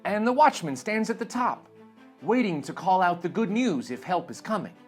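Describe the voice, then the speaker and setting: Earnest noble young lady voiced by a man, lively pitch. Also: moderate pace, soft background music. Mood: earnest, urgent. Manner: earnest, storybook phrasing. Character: noble young lady, watchful and proud of her homeland.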